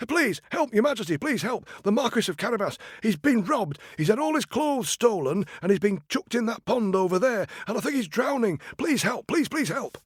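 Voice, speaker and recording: A man's voice: medium pitch, big pitch swings, breathy edge, no echo. A man doing a bossy scheming talking cat. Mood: frantic. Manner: brisk, bossy, conspiratorial.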